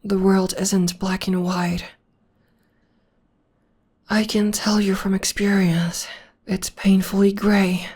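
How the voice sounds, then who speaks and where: A young woman voicing a gentle, devoted witch girlfriend in an ASMR comfort roleplay, speaking softly and often in a whisper. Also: mid-range voice, level tone, takes it slowly, long pauses, breathy edge. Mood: tender, soothing. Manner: soft, soothing, often whispering. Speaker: voice actor.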